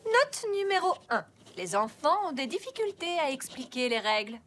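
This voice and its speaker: A woman's voice: high voice, sing-song, normal speed, a bit bright, faint music behind. A woman voicing an overeager, naive student babysitter in a children's cartoon, with a bubbly, gushing, earnest manner. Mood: proud, naive.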